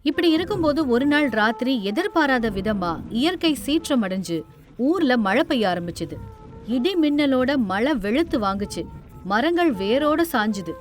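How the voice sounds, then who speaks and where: A woman's voice: high-pitched, very animated, measured pace. A woman storyteller giving scripted narration of a moral tale. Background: faint music.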